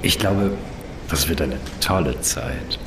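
An eager, lovestruck man, played by an actor in a radio play. His deep voice swings expressively and sounds echoey and set back from the microphone. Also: takes it slowly, noisy background, exaggerated voice. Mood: amorous. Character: amorous, excited husband or boyfriend on holiday. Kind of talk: audio drama acting. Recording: echoey, distant, music behind.

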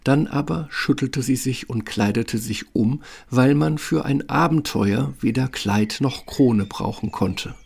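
A man's voice: medium pitch, measured pace, vocal fry, hardly any pauses, darkish tone, breathy edge. A man narrating a fairytale radio play as a scripted storyteller.

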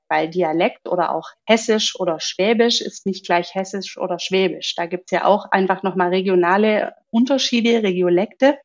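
Calm woman voice coach, dull sound. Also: medium pitch. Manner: calm, explanatory, with frequent affirming hums. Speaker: voice coach and speech therapist, guest. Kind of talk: podcast interview, explaining.

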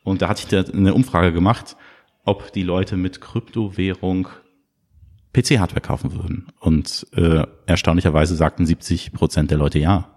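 A man from Munich, a guest on a casual podcast conversation, telling stories in a lively way and chuckling as he talks. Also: sits low, moderate pace. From Munich, Germany. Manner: lively storyteller, chuckles while talking. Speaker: podcast guest, crypto shop founder.

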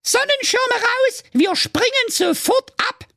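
A man voicing a bossy, foul-mouthed mother goat who barks shrill commands in a comic fairy tale. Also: animated, slow, gravelly, sharp tone, no room sound, clean studio sound, heavily acted. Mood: bossy, irritated. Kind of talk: comedic fairy-tale storytelling.

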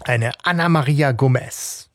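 A man co-hosting a fan podcast, recapping an audio drama's plot in quick bursts during a casual discussion. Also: mid-range voice, no echo. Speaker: podcast co-host.

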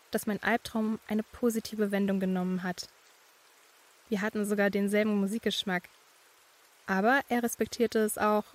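A woman playing a young student who narrates her eerie experiences in a measured, scripted first-person style. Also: medium pitch, lightly acted.

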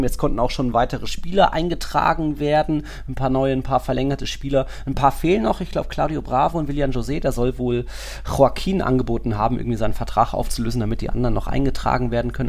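A man with a creaky, gravelly edge to a medium-pitched voice. He talks as a podcast co-host, casually commenting on sport. His telling is colloquial and loosely strung together.

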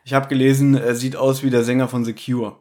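A man's voice: medium pitch, flat delivery, fairly quick, a little mellow. A man co-hosting a podcast, chatting and bantering casually about audio dramas. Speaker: podcast host.

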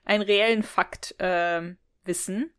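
A woman's voice: medium pitch, fairly even intonation, takes it slowly, a bit bright, clear, resonant tone. A woman co-hosting a podcast, chatting casually and readily amused as she retells and discusses a story. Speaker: podcast co-host. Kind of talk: casual chat, retelling and discussing an audio drama episode.

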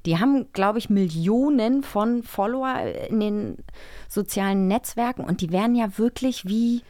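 A woman's voice: mid-range voice, moderate pace, warm tone. A woman co-hosting a podcast in a casual, teasing, conversational way. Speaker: podcast co-host. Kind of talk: casual chat.

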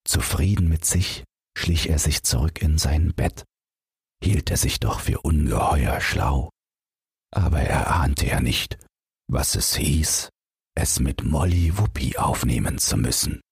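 A man's voice: a bass voice, animated, slow pace, creaky, slightly rough, no room sound, studio quality. A man narrating a scripted bedtime fairytale as an audiobook reader, telling it expressively.

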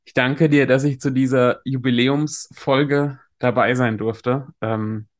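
A man's voice: mid-range voice, steady tone, unhurried. Casual, supportive man as podcast guest. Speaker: podcast guest, branding consultant. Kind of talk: casual chat.

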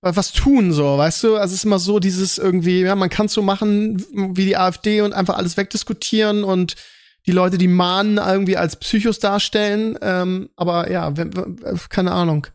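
High-voiced man in dry close sound. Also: steady tone, normal speed, dry recording. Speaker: podcast co-host. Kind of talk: casual chat.

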